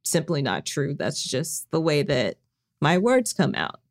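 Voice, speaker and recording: A woman co-hosting a podcast with a low voice. She talks in an even monotone that can sound uninterested, though she isn't. The tone is casual and conversational. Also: unhurried. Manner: monotone, flat delivery. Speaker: podcast co-host. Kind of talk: casual chat.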